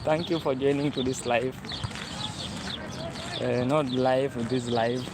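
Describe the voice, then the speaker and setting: A young Kenyan man with a Kenyan accent talks to his live viewers as he walks, chatty and repeating himself. His voice sits at a middle pitch. Street noise comes through clearly on the phone recording. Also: steady tone, takes it slowly. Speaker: travel vlogger. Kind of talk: walking livestream vlog. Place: outdoors on a city street.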